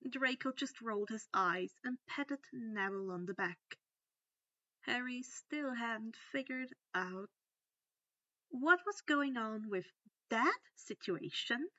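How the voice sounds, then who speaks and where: A woman's voice: on the high side, lively intonation, takes it slowly, sharp tone, no echo, lo-fi sound. A woman reading a scripted fan fiction story aloud as its narrator.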